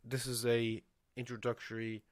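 A man in his thirties hosting a casual podcast from a small home studio on a boom microphone, swearing freely and laughing often. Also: moderate pace, dry recording. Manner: swears often, laughs a lot, animated hand gestures. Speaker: podcast host. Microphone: headphones and studio mic on boom arm.

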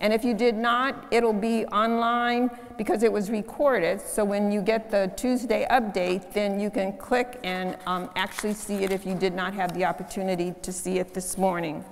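An older woman leading a church service, giving announcements and praying in a slow, measured way. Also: medium pitch, steady tone, slight background noise. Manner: slow and measured, solemn in prayer. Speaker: worship leader. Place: large church sanctuary. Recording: long church reverb.

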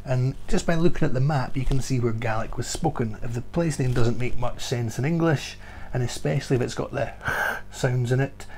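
A middle-aged man with a Scottish accent speaks at an average pitch. He explains in an informal, conversational way, like a tour guide hosting an educational vlog. He is recorded indoors on a lapel mic. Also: brisk, darkish tone, poor audio. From Scotland. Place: small furnished room indoors. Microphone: clip-on lapel mic.

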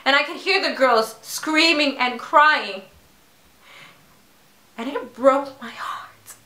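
A woman's voice: sits high, pushed and bright, heavily acted. Woman acting a grieving, defensive mother, echoey room. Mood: shaken. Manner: halting, emotional, pleading. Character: grieving, defensive mother questioned by her doctor. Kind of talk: dramatic stage monologue.

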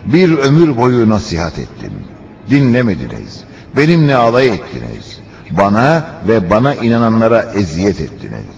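A man's voice: on the low side, huge pitch swings, normal speed, gravelly, darkish tone, exaggerated voice. A man narrating a religious cartoon, relaying a prophet's words in a solemn, declamatory, preaching manner. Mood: stern, warning. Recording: muffled cartoon soundtrack with music.

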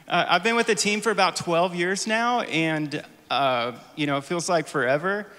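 A man in his forties, a church band guitarist, tells a personal story to a congregation from a stage with a handheld mic, joking and halting with many fillers. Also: high-pitched, sharp tone, clear, resonant tone. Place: church stage before an audience. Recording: long hall reverb.

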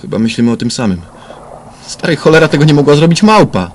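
Man playing brisk police inspector, noisy recording. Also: on the high side, sing-song. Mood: excited, urgent. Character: brisk, blunt middle-aged male police inspector. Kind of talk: crime radio play acting.

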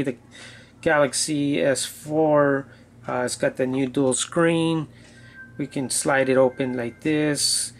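A man's voice: mid-range voice, a little hiss or hum. A man giving a casual, unscripted gadget demo indoors, thinking aloud a bit hesitantly as he goes. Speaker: gadget reviewer.

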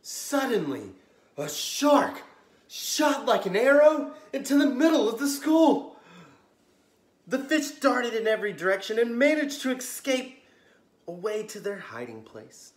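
An American man in his thirties hosting a children's story time, reading aloud expressively with gentle asides to young listeners. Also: very high-pitched, sing-song, measured pace. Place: living room, on a couch indoors. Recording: roomy, echoey home recording.

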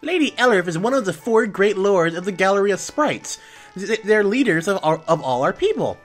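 A woman voicing an excitable little fairy girl, bubbly and eager. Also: mid-range voice, brisk, faint music behind.

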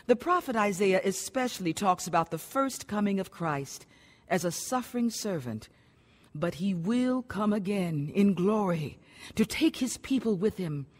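An actress playing a devout, authoritative Bible study teacher, solemn and instructive, in a radio drama. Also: female voice, medium pitch, expressive, unhurried, long reverb tail.

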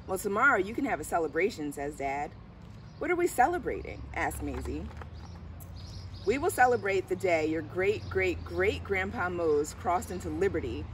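A woman's voice: lowish voice, big pitch swings, normal speed. A middle-aged woman reading a picture book aloud in a storytelling style. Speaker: school diversity director reading aloud. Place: outdoors on a garden bench under trees. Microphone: camera a few steps away. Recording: distant camera mic, outdoor background noise.

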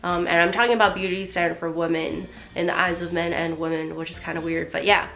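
A woman in her twenties talking to camera in a bedroom, animated and chatty with lots of fillers, her voice half gone. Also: fairly low pitch, fast talker, gravelly, soft background music. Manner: animated, chatty, gestures a lot, many "like" fillers. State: losing her voice. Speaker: vlogger. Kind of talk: casual talk to camera. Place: small bedroom indoors.

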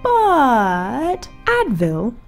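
A woman playing an eerie, gracious small-town radio host, reading horror-comedy news in a measured, ominous deadpan. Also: sits high, full character voice. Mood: ominously calm. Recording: music bed under the voice. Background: music.

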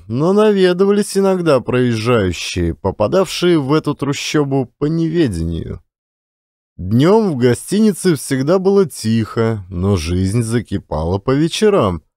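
A man's voice: medium pitch, warm tone, no room sound. Dry-sounding male audiobook narrator. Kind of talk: expressive literary storytelling.

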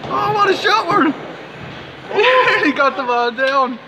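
A man filming his son bowling on his phone, cheering every shot with excited praise. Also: very high voice, slow pace. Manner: encouraging, exclaims praise. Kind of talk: cheering home video. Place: large indoor bowling alley. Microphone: phone camera held by the speaker. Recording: loud background noise.

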